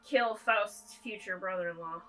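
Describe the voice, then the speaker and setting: Bright-voiced joking woman co-host. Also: medium pitch, measured pace. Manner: joking, narrates the plot aloud. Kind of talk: casual movie-watching chat. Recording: piano music behind.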